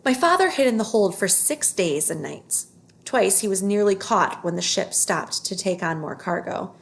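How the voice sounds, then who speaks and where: A woman narrates a children's book aloud. Her voice sits at a medium pitch with a noticeable creak. She reads expressively and takes care with the phrasing. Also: lively intonation, moderate pace.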